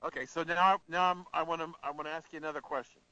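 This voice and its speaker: A man co-hosting a talk show, speaking casually in a halting way with frequent restarts and chuckles. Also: a little high, fairly even intonation, sharp tone, no room sound, muffled recording. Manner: halting, restarts often, chuckles while talking. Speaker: co-host and columnist. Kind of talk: casual talk-radio chat.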